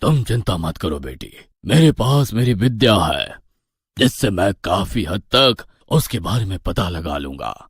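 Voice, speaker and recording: A man's voice: low-pitched, big pitch swings, unhurried, breathy edge, no room sound, clean studio sound, full character voice. A man voicing a stern, protective village holy man with a grave, resolute and commanding manner in a horror story. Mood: grave, reassuring. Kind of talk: animated horror story voice acting.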